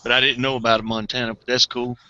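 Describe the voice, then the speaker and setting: A man in a casual online group chat, agreeable, chuckling and thinking out loud. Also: medium pitch, flat delivery, fast talker, no room sound, muffled recording. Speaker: chat participant.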